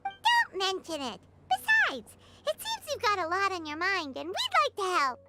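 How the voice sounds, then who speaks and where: A woman voicing a small, chatty, excitable female companion creature in a video game, shrill and bouncy. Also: moderate pace, exaggerated voice. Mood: chatty, curious. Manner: chatty and eager. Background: game music.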